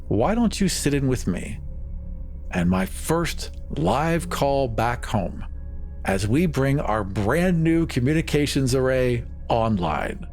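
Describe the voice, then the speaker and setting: A man playing a smooth-talking, evasive colony leader in improvised tabletop roleplay, assured and persuasive. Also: mid-range voice, darkish tone. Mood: smooth, reassuring.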